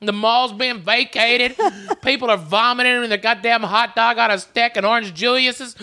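A woman's voice: fairly high pitch, steady tone, moderate pace, sharp tone. A woman podcast host, riffing crudely and profanely, exaggerating everything and laughing through her lines.

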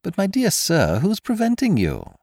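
A man acts out a smug gentleman villain from a storybook. He taunts with theatrical courtesy and teasing mockery, his pitch swinging sharply up and down in a dry, close recording. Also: wildly swooping pitch, unhurried, no room sound. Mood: mocking, teasing. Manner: mock-polite, theatrical, teasing.

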